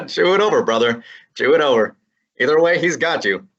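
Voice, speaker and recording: A wisecracking young male sidekick detective, played by a young student actor, talking tough and slangy at mid pitch with a husky edge. The sound is a little dull and lo-fi, like a school radio play. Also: lively intonation, moderate pace, a bit bright. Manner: brash, slangy tough-guy delivery. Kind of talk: old-time radio drama acting and narration.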